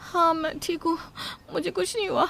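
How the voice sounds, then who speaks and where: A woman's voice: very high-pitched, fairly even intonation, moderate pace, creaky, fairly bright tone, pitched above the performer's own voice, full character voice. A woman voicing a plaintive young daughter-in-law who insistently justifies herself in a moral story. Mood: tearful. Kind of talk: acted dialogue in a Hindi moral story.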